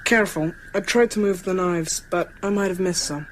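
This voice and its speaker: Young male actor as a high-voiced frightened boy. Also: normal speed, a little hiss or hum, natural voice, rough recording. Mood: subdued. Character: frightened but brave young boy vampire hunter. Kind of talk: radio drama acting.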